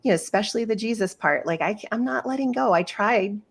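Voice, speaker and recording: A woman's voice: mid-range voice, quick pace, vocal fry. A woman hosting a podcast interview, talking in an earnest, effusive way with plenty of fillers. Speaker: podcast host. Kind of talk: casual interview chat.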